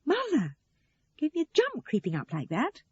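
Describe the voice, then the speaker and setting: A woman's voice: high voice, huge pitch swings, moderate pace, darkish tone, dry recording, dull audio, voice pushed up. A woman voicing a flustered, guilty adult daughter in an audio story, hesitant and placating. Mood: exasperated, hesitant.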